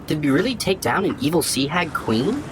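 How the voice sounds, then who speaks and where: Wide-eyed eager little boy: very high, lively pitch, audio drama, faint noise. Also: normal speed. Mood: awed.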